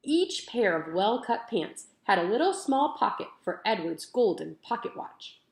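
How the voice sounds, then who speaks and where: A woman's voice: mid-range voice, sing-song, measured pace, gravelly. A woman narrating a children's book aloud expressively, with careful, emphatic storytelling phrasing. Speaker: teacher reading aloud. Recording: roomy echo.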